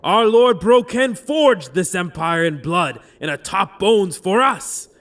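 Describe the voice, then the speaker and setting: A young noble zealot, preaching to the masses like a prophet. His male voice is high and impassioned, with declamatory fantasy audio drama delivery. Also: expressive, slow, fairly bright tone, heavily acted. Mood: fervent, defiant. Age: young adult.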